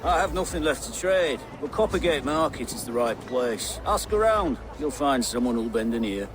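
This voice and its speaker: Man acting medium-pitched, helpful market peddler. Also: lively intonation, normal speed, noisy background, lightly acted. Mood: obliging. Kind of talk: video game dialogue acting.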